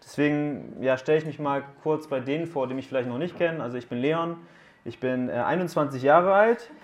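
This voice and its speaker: A man in his thirties speaks at an ordinary pitch to a room of young listeners. His talk mixes teaching with light jokes and questions to the crowd. He sounds relaxed and direct. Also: fairly quick. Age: 30s. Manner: casual, joking, asks the audience questions. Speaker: youth speaker at a camp. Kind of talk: sermon talk to young people. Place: room with a live audience.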